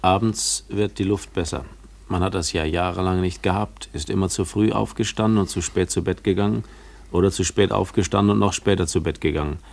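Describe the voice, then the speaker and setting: An actor playing a guarded man who keeps away from the world, talking in reserved, deliberate phrases in a German radio play. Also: low-pitched, creaky. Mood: musing. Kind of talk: German radio play acting, literary dialogue.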